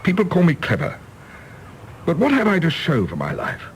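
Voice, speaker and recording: An actor playing a disillusioned middle-aged English diplomat, bitter and self-mocking, in an impassioned radio-drama style. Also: male voice, fairly high pitch, wildly swooping pitch, moderate pace, slight background noise. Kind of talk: stage-play radio drama acting.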